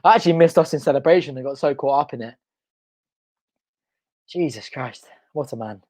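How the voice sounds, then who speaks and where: A young man hosting a live football watch-along from home, excitable and shouting, his voice going hoarse. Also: medium pitch, brisk. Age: young adult. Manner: excitable, shouting and chanting at goals. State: losing his voice. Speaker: fan channel host. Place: home front room.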